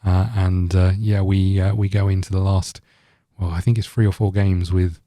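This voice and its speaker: A man with a very dark, relaxed voice on the low side talks through a video game. He rambles casually, thinking aloud as he hosts. The sound is dry and close. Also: moderate pace, no room sound. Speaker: gaming video host. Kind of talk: casual let's-play commentary.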